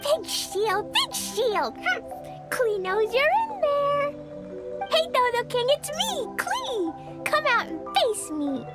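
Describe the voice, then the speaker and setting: A woman voicing an excitable, innocent little girl adventurer in a video game. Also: extremely high pitch, slow pace, full character voice. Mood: excited, eager. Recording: music behind the voice.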